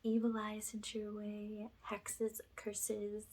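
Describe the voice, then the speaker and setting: A woman leads a spiritual ASMR cleansing in a hushed voice that often slips into a whisper. Her delivery stays flat and even, at a medium pitch. Some background noise and small clicks sit behind her. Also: takes it slowly, low-quality recording. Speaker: ASMR energy healer.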